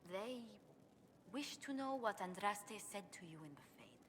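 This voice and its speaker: A woman playing a formal, measured court advisor. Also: medium pitch, expressive, slight background noise, natural voice. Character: formal female advisor presenting prisoners. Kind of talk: video game voice acting.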